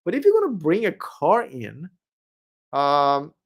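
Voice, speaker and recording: A man hosting a casual live stream, reading viewer questions and answering them in a rambling way with many fillers and restarts, his voice going hoarse. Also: fairly high pitch, huge pitch swings, slow. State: losing his voice.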